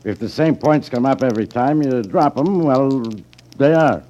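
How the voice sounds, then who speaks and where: A man's voice: mid-range voice, lively intonation, moderate pace, mellow, lightly acted. An American actor playing a loyal, dim, tough henchman with slangy hoodlum talk in 1950s radio drama style. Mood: matter-of-fact. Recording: dull 1950s radio recording.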